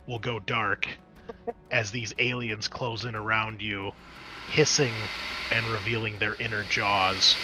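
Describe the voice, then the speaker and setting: A man's voice: lowish voice, rough recording. A man narrating a tabletop roleplaying game as game master, moving between dramatic scene-setting and casual asides.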